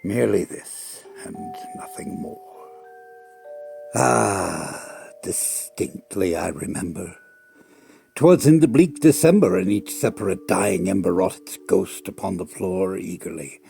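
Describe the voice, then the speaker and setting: A man performing a dramatic recitation of gothic poetry, theatrical and deliberate, with pauses and swells between hushed and loud delivery. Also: sits low, gravelly, faint music behind.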